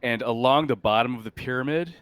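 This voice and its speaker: A man narrating a tabletop sci-fi game as game master, casually describing scenes in a halting way. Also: medium pitch, fairly bright tone, clean tone.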